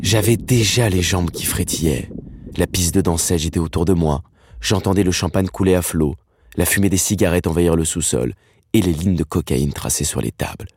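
Very deep-voiced man narrating. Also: a little mellow, slightly rough, dry recording, studio quality. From Paris, France. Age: 30s. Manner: wry, slangy, dramatic pauses. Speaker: narrator telling his own life story. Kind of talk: scripted first-person narration.

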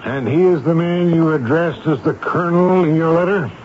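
An actor playing a stern, commanding American army major in a 1970s radio drama, with an authoritative, clipped, urgent manner. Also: male voice, on the high side, moderate pace, close to an everyday voice. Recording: noisy, muffled old radio broadcast.

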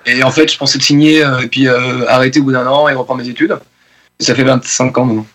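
A man's voice: medium pitch, fairly even intonation, fast talker, fairly bright tone, poor audio. A man in his forties from France, a musician talking loosely in a radio interview, full of fillers and restarts. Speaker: guest musician. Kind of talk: casual interview talk.

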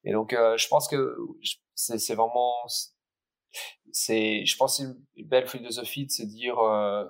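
A man's voice: medium pitch, fairly even intonation, unhurried. A man who grew up in Ireland, a native English speaker speaking with a slight Irish accent, answering interview questions hesitantly with many fillers as a startup cofounder.

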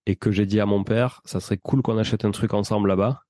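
A man's voice: fairly low pitch, fairly even intonation, fast, warm tone, dry recording, clean studio sound. A man speaking as a podcast guest in a casual interview, explaining his investing experience in an informal, slangy way. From Portuguese family background.

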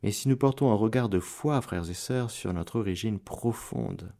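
A male priest giving a radio sermon, preacherly, rising to loud exclamations and dropping to hushed prayer. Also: fairly low pitch. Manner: slow, deliberate delivery. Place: radio studio.

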